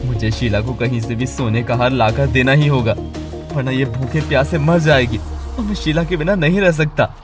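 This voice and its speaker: A man playing a poor, hardworking, devoted husband in an animated moral story, earnest and pleading. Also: medium pitch, moderate pace, over music, close to an everyday voice. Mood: desperate.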